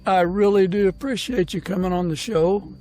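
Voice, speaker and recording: An older man hosting a conversational interview podcast, speaking in a halting, gently courteous way. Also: high voice, music in the background. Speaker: podcast host.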